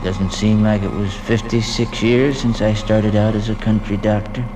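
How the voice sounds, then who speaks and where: An actor playing an older American country doctor in a 1950s radio drama, folksy and musing, in short clipped phrases. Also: male voice, on the low side, level tone, moderate pace, background noise, lightly acted. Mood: musing, nostalgic. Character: weary, reminiscing old country doctor. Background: music.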